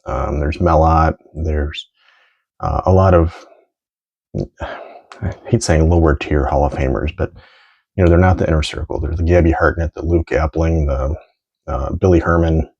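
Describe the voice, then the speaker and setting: A man's voice: a bass voice, fairly even intonation, normal speed, pauses often, a little mellow. A middle-aged American man rambling casually and thinking aloud as he presents collectibles, in a lo-fi home recording. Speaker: vintage sports card collector presenting items. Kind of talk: casual unscripted show-and-tell.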